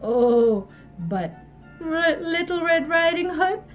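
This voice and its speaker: A woman voicing a sly, hungry big bad wolf in a storybook, cooing slowly as a frail, sweet grandma. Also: very high-pitched, very animated, highs cut off, soft background music, exaggerated voice.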